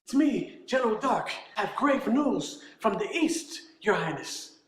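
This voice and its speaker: A man's voice: high-pitched, big pitch swings, measured pace. An actor voicing a grim male army general who speaks in an urgent, formal way. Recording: echoey room with faint music.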